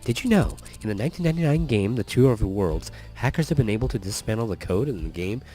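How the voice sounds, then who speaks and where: A man voicing a sweet, eager, nervous young woman from a visual novel, with a strained, hoarse, girlish lilt. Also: lowish voice, animated, quick pace, mellow, faint music behind, lightly acted. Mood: playful.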